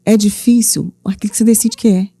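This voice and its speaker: A middle-aged woman from Minas Gerais with a Minas accent, telling her story as a studio interview guest with lively, playful earnestness. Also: mid-range voice, fast, vocal fry, husky, no room sound. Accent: Minas Gerais Brazilian Portuguese. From Minas Gerais, Brazil. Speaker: guest, author and mentor.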